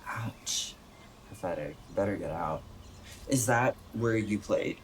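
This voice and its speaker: A man's voice: lowish voice, measured pace, slight background noise, natural voice. An American man playing a blunt, stubborn young friend in a radio play, casual, wry and needling, with some profanity. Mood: teasing. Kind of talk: naturalistic radio play acting.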